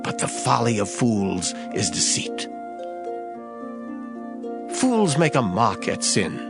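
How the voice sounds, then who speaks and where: A man's voice: fairly low pitch, big pitch swings, takes it slowly, creaky. Solemn man reading scripture with swooping intonation. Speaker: scripture reader. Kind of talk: dramatized Bible reading. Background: music.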